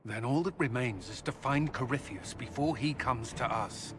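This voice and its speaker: A man's voice: medium pitch, moderate pace, background noise, lightly acted. An actor, a man, playing a serious, practical military adviser in a fantasy game, with a pragmatic manner. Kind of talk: dramatic fantasy game acting. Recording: loud music behind the voice.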